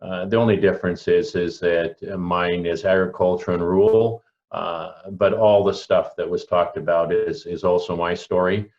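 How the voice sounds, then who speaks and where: Deep-voiced Canadian man in his sixties, muffled audio. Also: level tone, moderate pace, a little mellow. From rural Alberta, Canada. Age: early 60s. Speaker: panelist, local pastor. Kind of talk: personal testimony on a panel.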